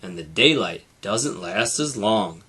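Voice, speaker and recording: A man's voice: fairly low pitch, lively intonation, slow, bright, forceful tone, breathy edge, heavily acted. A man voicing a helpful, knowledgeable talking airplane radio that acts as a guide in a children's storybook. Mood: informative.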